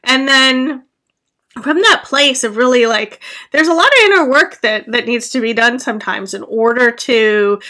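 A middle-aged woman hosts her own podcast in an earnest, reflective solo monologue. Her voice sits a little high, with a bright, sharp edge. She rambles along, full of fillers and restarts. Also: normal speed. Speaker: podcast host and business coach.

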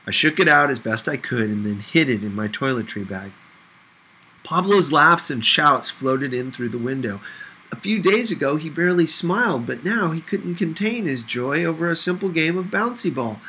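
A man reading a novel aloud as expressive scripted narration. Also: on the high side, moderate pace, a little hiss or hum. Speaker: audiobook reader.

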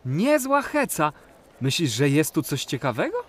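A man's voice: fairly high pitch, wildly swooping pitch, normal speed, a little hiss or hum, pitched above the performer's own voice. A man voicing a playful, curious little boy who exclaims and jokes in a children's audio play. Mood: teasing, surprised. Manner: exclaiming, inquisitive, cheeky.

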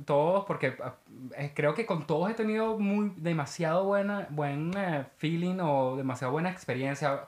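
Venezuelan woman chatting in an echoey room. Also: sits low. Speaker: event producer. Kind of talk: casual chat.

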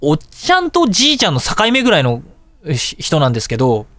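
A man hosting a radio show, telling everyday anecdotes in a casual, excitable way and acting out little exchanges. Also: on the high side, quick pace, no room sound. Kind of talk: casual storytelling radio talk.

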